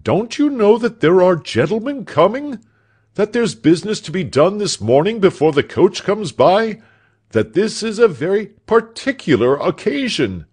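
A man voicing an impatient doctor in a storybook, loudly and briskly questioning and ordering his servant about. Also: high voice, sing-song, husky, voice pushed up, full character voice. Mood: impatient scolding. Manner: loud, exclamatory, brisk questioning. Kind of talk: storybook character voice.

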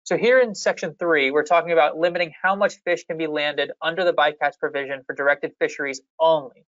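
A fishery management staff member giving a measured, methodical walkthrough of policy options in a public hearing slide presentation. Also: medium pitch, fairly even intonation, fairly bright tone, no echo, dull audio.

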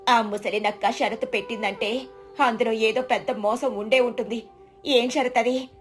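A woman voicing a clever village farmer's wife in a moral story, earnest and expressive, worried yet brave. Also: a little high, normal speed, soft background music, natural voice. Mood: worried, questioning. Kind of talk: dramatized Telugu moral story.

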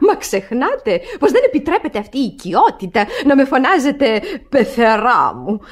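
Older noble matron, high voice, swooping affected delivery, pompous, scandalised, radio theatre comedy. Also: female voice, sing-song. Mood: haughty scolding. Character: haughty older aristocratic mother-in-law, easily scandalised.

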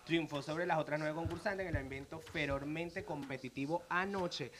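A man's voice: a little high, moderate pace, a crowd in the background. A man who works as a makeup artist, co-hosting an enthusiastic, gushing chat in a small studio with a handheld mic. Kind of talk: casual pageant chat.

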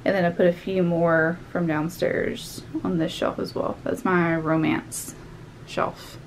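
A woman's voice: lowish voice, level tone, measured pace, poor audio. A young woman with a light Southern American lilt chats away, upbeat and a little scattered, as she tidies her small office. She is picked up by the camera mic as she moves around the room, with a faint hum in the background. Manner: chatty, upbeat, thinks aloud while tidying. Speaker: vlogger. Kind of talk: casual cleaning and haul vlog. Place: small home office, indoors.

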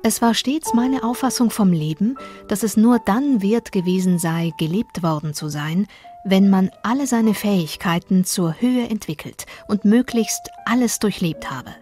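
An actress in a radio play reading period documents as an earnest woman, measured and formal. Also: mid-range voice, mellow, soft background music, lightly acted. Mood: reflective, earnest.

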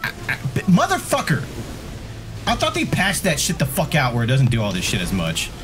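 Man, thirties, lowish lively voice, sweary joking game commentary, excitable, clear music behind. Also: brisk. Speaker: gaming co-host, commentator. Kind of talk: casual reactive game commentary.

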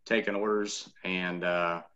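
A man's voice: low-pitched, flat delivery, measured pace, over a noisy crowd. A man from Illinois asking a beekeeping host hesitant questions on a video call. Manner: hesitant, with many fillers. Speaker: caller. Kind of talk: casual video call chat. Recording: dull lo-fi video call audio.

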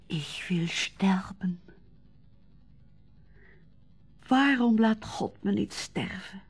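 Frail old woman, radio drama, extremely slow, husky whisper, weary of life. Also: medium pitch, faint music behind. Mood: despairing. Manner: faint, halting. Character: dying, weary old aunt longing for death.

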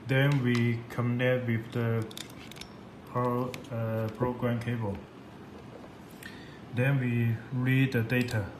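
A man giving an unscripted tutorial in halting, repetitive, simple English. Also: mid-range voice, flat delivery. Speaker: product demonstrator. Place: indoor room at a computer desk. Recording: echoey room with background noise.

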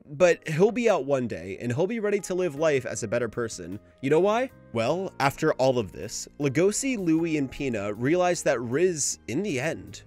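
Young man, lively video essay narration. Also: fairly high pitch. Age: young adult. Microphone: home microphone, voice-over.

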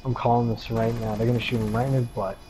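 A man's voice: medium pitch, level tone, quick pace, warm tone, rough recording. A young man playing an online shooter, bantering casually and jokingly in slangy speech. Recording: clear game noise and clicks behind.

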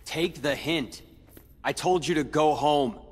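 Slow-spoken, scornful teenage rival. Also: male voice, a little high, slight background noise. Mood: cold scorn. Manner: cool, taunting, deliberate. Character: cold, scornful, brooding teenage boy rival. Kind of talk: video game cutscene acting.

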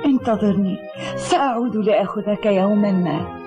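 A woman's voice: mid-range voice, lively intonation, normal speed, lightly acted. A woman playing a sorrowful, tender young mother in a dubbed cartoon, with a pleading delivery. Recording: cartoon audio under loud music.

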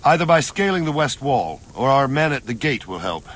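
An actor playing a grizzled older soldier in a video game, blunt, fatherly and resolute, with a measured, commanding delivery. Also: medium pitch, very animated, a bit bright, a little hiss or hum. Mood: resolute, instructing. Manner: measured, plain-spoken, commanding. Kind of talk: dramatic video game cutscene acting. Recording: music behind the voice.

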